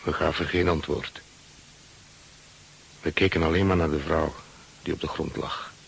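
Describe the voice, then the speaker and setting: An actor playing a seafaring man who narrates grim memories in a measured, sombre radio play style. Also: a bass voice, fairly even intonation, vocal fry, slightly rough, exaggerated voice.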